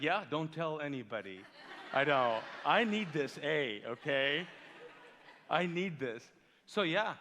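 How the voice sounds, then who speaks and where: A male pastor raised in Kuwait whose first language is Urdu, preaching with lively storytelling and rhetorical questions. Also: fairly high pitch, big-room sound. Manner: animated storytelling, big swings in loudness, rhetorical questions. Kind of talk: sermon. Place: large church hall.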